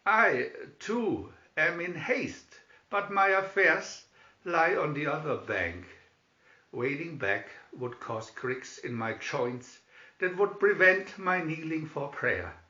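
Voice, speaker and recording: Guy doing a big jolly friar, sly n teasing, loud and higher pitched, storybook style, echoey. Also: animated, slow, exaggerated voice. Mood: mock-polite, sly. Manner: roaring, hearty, mock-pious. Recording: slightly dull recording in echoey room.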